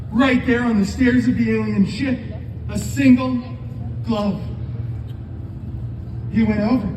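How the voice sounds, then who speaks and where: A man's voice: extremely high pitch, background noise. A man playing a wisecracking comic-book antihero who tells a fairy tale theatrically, with sarcastic asides to his live audience. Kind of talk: live comic storytelling show. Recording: echoey venue, loud background music.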